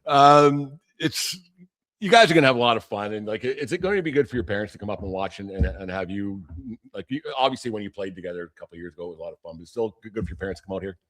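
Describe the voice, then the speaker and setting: Male sports podcast host, lowish voice, brisk and casual, rambling questions with false starts. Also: animated, a bit bright, dry recording.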